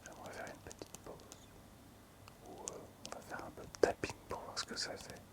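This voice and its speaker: A man hosting casual ASMR in a breathy, unvoiced whisper, hushed, hesitant and appreciative. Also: normal speed. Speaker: ASMR video host.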